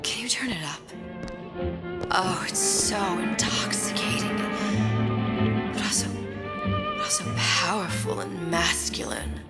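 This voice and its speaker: Cool shrewd young noir femme fatale, very proper wording, swoopy pitch, loud music behind. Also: female voice, wildly swooping pitch, slow pace, pauses often, full character voice. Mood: coy, breathy flattery. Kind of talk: film noir video game acting.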